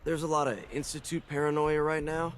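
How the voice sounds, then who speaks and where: An actor playing an easygoing city security guard in a video game, friendly, informal and apologetic. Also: male voice, fairly high pitch, level tone, a little fast, a little hiss or hum, lightly acted. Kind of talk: video game dialogue acting.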